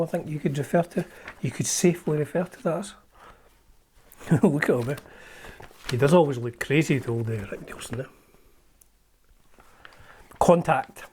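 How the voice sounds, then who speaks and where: A man's voice: a little high, a little mellow, a little hiss or hum. A middle-aged man with a Scottish accent, hosting and chatting casually as he flips through an old magazine, rambling with frequent ums and restarts. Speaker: host.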